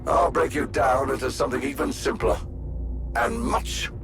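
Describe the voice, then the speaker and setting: A smug gloating supervillain genius. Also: male voice, on the high side, sing-song, moderate pace, gravelly, noisy background. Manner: smug, taunting, theatrical. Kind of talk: video game cutscene acting. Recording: loud game music behind.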